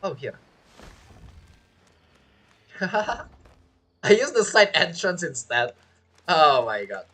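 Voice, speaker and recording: A man's voice: a little high, sing-song, very slow, pauses often, pushed and bright. A man streaming a game, giving brief, offhand reactions in casual live commentary. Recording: game music behind the voice.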